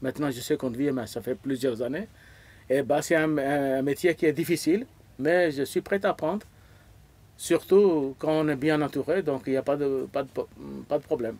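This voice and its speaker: A man of about seventy, from Pakistan and speaking, answering interview questions in an earnest, halting way and often repeating words as he searches for them. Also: fairly high pitch, fairly even intonation, darkish tone, husky. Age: around 70. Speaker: interview guest.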